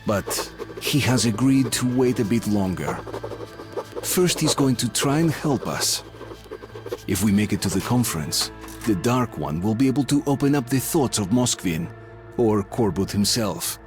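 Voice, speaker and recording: An actor playing an earnest, hopeful male survivor in a video game, explaining things in a measured way. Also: on the low side, animated. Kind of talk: dramatic video game acting. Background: music.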